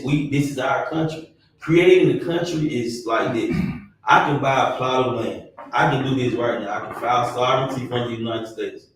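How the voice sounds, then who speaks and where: A man, hosting a heated casual debate and talking forcefully, with emphatic repetition. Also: medium pitch. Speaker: stream host. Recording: echoey room, mic at a distance.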